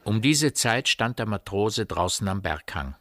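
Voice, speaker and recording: A man narrating a literary radio play in a grave, measured storytelling manner. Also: lowish voice, expressive, a bit bright, exaggerated voice. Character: grave omniscient male narrator of a village mystery.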